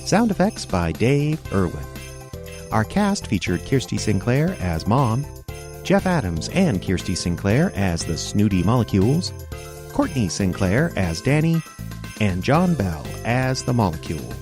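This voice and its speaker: A man's voice: fairly low pitch, sing-song, a little slow, music in the background. A man reading scripted narration and announcements for a children's radio play.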